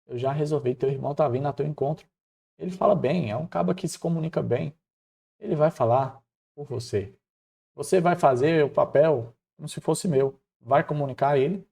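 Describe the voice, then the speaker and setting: A man's voice: a little high, fairly quick, vocal fry, dark tone, husky. A man with a Northeastern Brazilian accent voicing a reassuring, firm God who gives colloquial, matter-of-fact instructions in a Bible story. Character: God, male, patient but firm, reassuring problem-solver.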